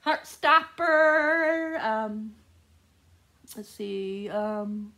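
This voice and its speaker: A woman's voice: on the high side, expressive, very slow, pushed and bright. A woman chatting casually to viewers as a book vlogger, gushing and often repeating words for emphasis.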